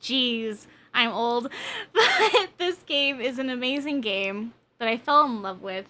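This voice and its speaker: A woman's voice: fairly high pitch, expressive, slow, pushed and bright. A woman in her twenties chatting casually with viewers on a game livestream, with a chatty, bubbly, giggly manner. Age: 20s. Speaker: game streamer.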